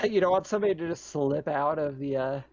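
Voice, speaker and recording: A man's voice: a little high, a little fast, a little mellow. Chuckling man, casual game master, muffled audio. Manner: jokey, chuckles often. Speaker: game master of a tabletop roleplaying session. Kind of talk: casual chat during a tabletop game.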